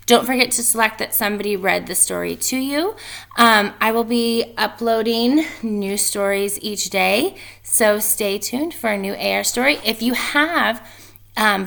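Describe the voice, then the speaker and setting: A woman's voice: medium pitch, creaky, bright, forceful tone. A woman reading a children's storybook aloud and talking informally to pupils like a teacher.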